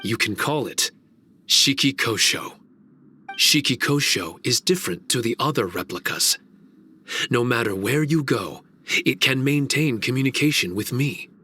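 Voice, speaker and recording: A man's voice: medium pitch, animated, unhurried, bright, forceful tone, breathy edge, full character voice. A man playing an earnest, dutiful guardian spirit in a video game, speaking formally and courteously. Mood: grateful. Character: earnest, dutiful male guardian spirit of a mystic training domain. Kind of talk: video game cutscene voice acting.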